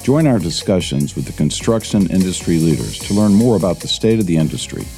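Deep male announcer over faint music. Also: big pitch swings, brisk, creaky, dark tone. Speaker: show announcer. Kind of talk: scripted intro narration.

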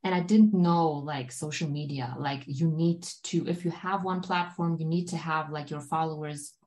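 A woman's voice: low voice, normal speed, a little mellow. Rambling woman. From Germany. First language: German. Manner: rambling, filler-heavy storytelling with many "like"s. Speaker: guest author. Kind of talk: casual podcast chat.

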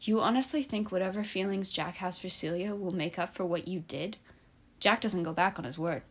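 A woman's voice: on the low side, a little fast, breathy edge. A woman reading as a fierce young barkeeper woman. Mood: defiant. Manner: sharp, snapping. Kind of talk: reading own fiction aloud.

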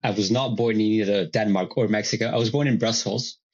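A man in his thirties, a podcast guest, chatting casually and telling his story in a relaxed, wry, self-deprecating way over a video call. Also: lowish voice, fairly quick, slightly rough. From Italy. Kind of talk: casual conversation. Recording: dull lo-fi video call audio.

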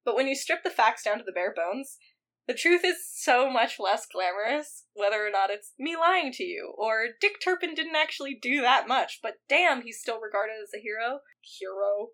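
A young woman with a bright, somewhat high voice chats easily as a podcast co-host. She giggles often and scatters fillers through her sentences. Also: lively intonation, moderate pace. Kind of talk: casual chat.

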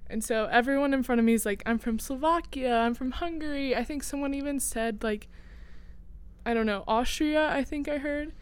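A woman's voice: high voice, moderate pace, clean tone. A teenage girl from Indiana, a student co-host, chatting in a casual, storytelling way with many fillers. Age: teens. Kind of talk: casual chat.

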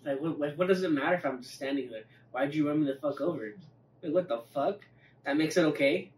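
A man's voice: fairly high pitch, fast, a little mellow. A man hosting a casual podcast, agreeing with his friends and swearing freely. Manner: profane, agreeable interjections. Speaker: podcast host. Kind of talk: casual chat. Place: echoey room, away from the mic. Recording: distant mics, echoey room, faint noise.

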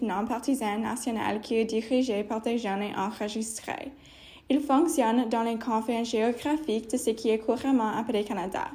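A native English-speaking woman with an Ontario anglophone accent hosts a podcast in French. Her voice sits a little high and she reads in a careful, measured way. The recording sounds echoey. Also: dark tone. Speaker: podcast host. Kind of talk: reading scripted introduction, then interviewing.

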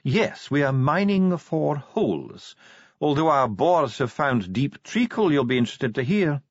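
A man's voice: on the high side, big pitch swings, a little slow, no echo, dull audio, voice pushed up. A man voicing a haughty dwarf official in an audiobook, pedantic and condescending, with cool, needling venom. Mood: smug calm. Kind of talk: audiobook character acting.

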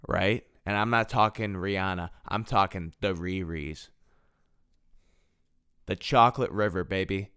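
A man's voice: mid-range voice, fairly bright tone, clean tone, no echo, clean studio sound. A man in his twenties hosting a solo podcast, rambling casually and poking fun at himself, often repeating and restarting his phrases. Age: 20s. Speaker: podcast host.

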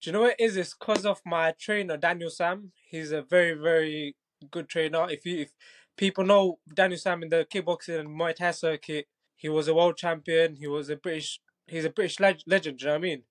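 A young fighter in his twenties from Bangladesh speaks in a relaxed, chatty way, often checking in with his listener. His voice sits slightly higher than most men's. He sounds easygoing and confident. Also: a little fast. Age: 20s. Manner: laid-back, repeats "do you know what I mean", laughs easily. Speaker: Muay Thai fighter, guest. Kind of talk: casual interview chat.

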